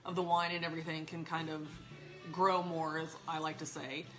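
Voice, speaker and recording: A woman's voice: sits low, level tone, moderate pace, fairly bright tone, soft background music. A woman guest on a casual wine chat, explaining things easily with fillers like "um" along the way.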